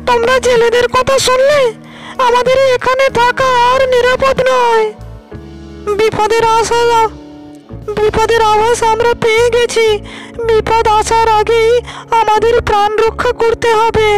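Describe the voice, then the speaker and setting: A woman voicing a small talking pond fish in a children's cartoon, with a slow, deliberate delivery. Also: very high-pitched, flat delivery, faint music behind. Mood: worried, urgent.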